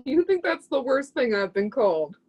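A woman's voice: high voice, sing-song, normal speed, darkish tone, husky, muffled recording, exaggerated voice. An actress playing a cool, curt, cagey and sardonic young woman in a stage-play reading. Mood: cool, evasive. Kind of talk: readers theatre stage-play acting.

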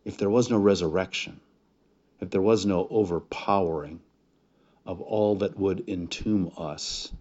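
A man speaking as a pastor in prayer and sermon introduction, with solemn, deliberate phrasing. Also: low-pitched, a little mellow. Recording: dull, muffled lo-fi recording.

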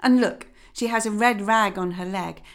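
A woman voicing a fretful, fussy mother duck, higher and slower than her narration. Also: lightly acted. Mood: instructive. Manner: fussing, scolding, proud.

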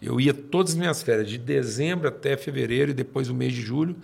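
Gravelly, husky older Brazilian man. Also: mid-range voice, darkish tone. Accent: Brazilian Portuguese. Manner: emphatic, slows down to stress points. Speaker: pastor. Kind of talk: personal storytelling in conversation.

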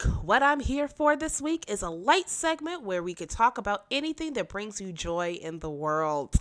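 A woman's voice: fairly high pitch, fairly bright tone. Stuffy, blunt young woman podcaster. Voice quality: nasal, congested. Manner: blunt, sassy, emphatic. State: stuffy from a cold. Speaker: podcast host. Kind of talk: casual political commentary.

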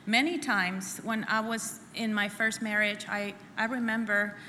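Middle-aged woman, bright and sharp tone, earnest testimony speaker in a reverberant church hall. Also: mid-range voice, steady tone. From El Salvador. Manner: earnest and halting, repeats phrases. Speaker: conference speaker sharing her testimony. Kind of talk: personal testimony at a church women's conference. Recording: echoey hall with faint room noise.

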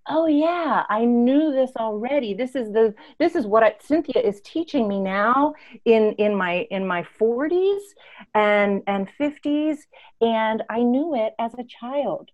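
A woman in her fifties from East Africa, an interview guest telling reflective personal stories in an expressive, emphatic way. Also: mid-range voice, highs cut off. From born and raised in East Africa.

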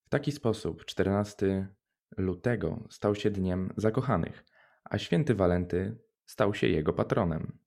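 Low, mellow young man. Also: measured pace, vocal fry. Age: young adult. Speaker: radio show co-host. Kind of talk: scripted narration and casual radio chat.

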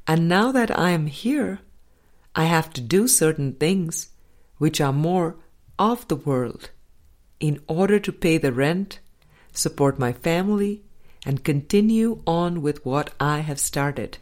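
A woman reading a spiritual book aloud as an audiobook narrator, in measured, deliberate phrases with pauses. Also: sits low, lively intonation, a little mellow. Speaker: audiobook reader.

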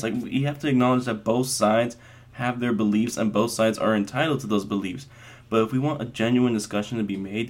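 A man in his early twenties, from Las Vegas, hosting his own podcast and talking through his views in an earnest, rambling way with many fillers and restarts. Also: lowish voice. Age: 20s. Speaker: podcast host. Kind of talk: casual solo podcast monologue.

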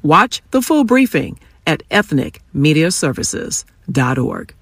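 Low-voiced woman presenting on radio. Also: big pitch swings, slow, slightly rough, dry recording, clean studio sound. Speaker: radio news reporter and host. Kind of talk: news report and pledge-drive pitch.

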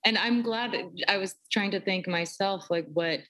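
A woman's voice: medium pitch, moderate pace, fairly bright tone, low-quality recording. A woman soprano talking in an interview, speaking in an articulate, flowing and thoughtful way. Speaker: soprano singer. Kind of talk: conversational interview.

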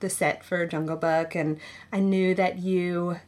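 A woman's voice: on the low side, steady tone, unhurried. Encouraging woman hosting a chat. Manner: warm, encouraging, says like often. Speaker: podcast host. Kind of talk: casual interview.

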